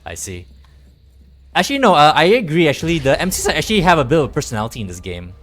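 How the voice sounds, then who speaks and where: A man's voice: a little high, huge pitch swings, quick pace, a bit bright. A man giving casual, rambling livestream game commentary, chatting informally with some swearing. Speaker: game streamer. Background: game music.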